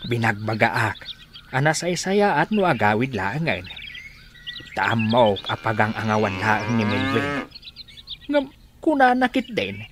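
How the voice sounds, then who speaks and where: A man's voice: fairly low pitch, sing-song, a little slow, long pauses, background noise. An actor playing an easygoing young man with an Ilocano accent in a radio drama. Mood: placating.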